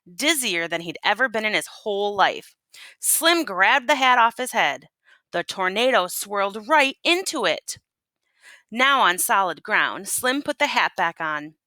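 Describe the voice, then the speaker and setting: A woman reading a picture book aloud as a teacher would, in an expressive, folksy storytelling manner. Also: medium pitch, no room sound. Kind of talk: animated picture-book read-aloud to listeners.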